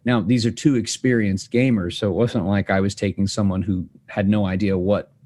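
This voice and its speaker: A man's voice: fairly low pitch, steady tone, normal speed, a little mellow. A man hosting a podcast, chatting casually and rambling with fillers and restarts. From United States. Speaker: podcast host. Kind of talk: casual chat.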